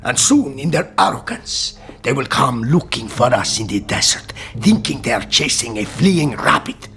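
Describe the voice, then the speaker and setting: An actor playing a patient, shrewd sultan in a radio drama, speaking in a measured, stately way. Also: male voice, medium pitch, sing-song, fairly bright tone, soft background music. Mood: confident, prophetic.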